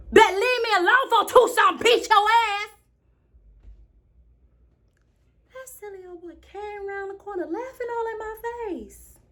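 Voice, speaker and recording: An imaginative, excitable young girl, acted by a woman with an American accent, tells her story as a theatrical monologue. The voice is high and very animated, moving dramatically between confiding and proud exclamation. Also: exaggerated voice. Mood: indignant. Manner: dramatic, wide swings from hushed confiding to exclaiming.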